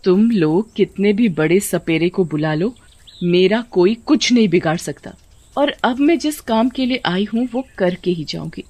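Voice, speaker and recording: A woman's voice: mid-range voice, expressive, measured pace, dark tone. A woman voicing a giant female serpent in a horror story, a grieving mother who is menacing, taunting and bent on revenge. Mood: taunting menace. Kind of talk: Hindi horror cartoon story acting.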